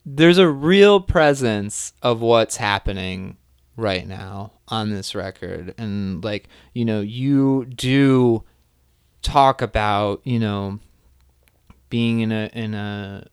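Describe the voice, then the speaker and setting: A man hosting a podcast interview, asking rambling questions and giving quick affirmations. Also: fairly low pitch, clear, resonant tone, no echo, studio quality. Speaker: podcast host. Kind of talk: casual interview chat.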